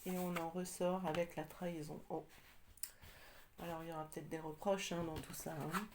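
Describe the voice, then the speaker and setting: A woman with a slight cold doing a casual tarot reading, talking hesitantly with many pauses and fillers. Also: low-pitched, little pitch movement, noisy background. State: has a cold, slightly congested. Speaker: tarot card reader.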